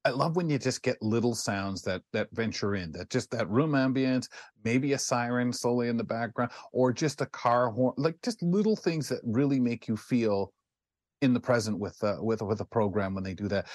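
A man's voice: medium pitch, normal speed, darkish tone, dry recording. Man hosting, close dry sound. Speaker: talk show host. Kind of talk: conversational interviewing.

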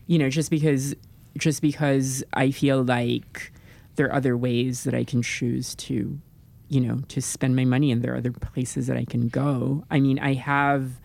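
A gravelly, creaky voice at a middle pitch. The speaker is thoughtful and measured, and often pauses on "you know" while laying out a point. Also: level tone, darkish tone. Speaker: podcast guest. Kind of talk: casual podcast chat.

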